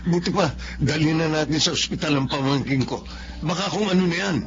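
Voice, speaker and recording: An actor playing a protective, admonishing older brother in a Tagalog radio drama. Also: male voice, a little high, fairly quick, close to an everyday voice. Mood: urgent. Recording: dull lo-fi, faint noise.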